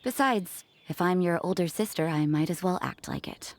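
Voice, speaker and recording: Cool, low-voiced aloof nun. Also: female voice, animated, normal speed, a little mellow. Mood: detached, kindly. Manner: cool, laconic. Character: cool, aloof young church sister, curt but caring. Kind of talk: anime game dubbing.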